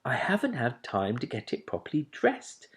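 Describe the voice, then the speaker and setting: A man voicing a gentle young woman who speaks softly, almost in a hush, in a kindly way. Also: mid-range voice, wildly swooping pitch, moderate pace, in a whisper. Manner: soft, hushed, kindly.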